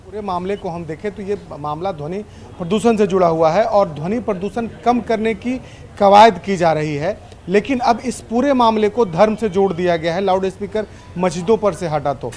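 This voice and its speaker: A male correspondent gives an unscripted live news report. His voice sits quite high for a man, and he explains in long, run-on sentences, restating his points. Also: a little mellow.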